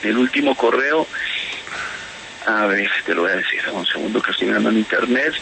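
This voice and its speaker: A man's voice: lowish voice, animated, a little fast, vocal fry, sharp tone, slight background noise, rough recording. Spanish-born man with a Bogotá accent. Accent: Bogotá Colombian Spanish. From Spain. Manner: hesitant, repeats words, restarts. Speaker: interviewee, whistleblower. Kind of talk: phone interview on radio.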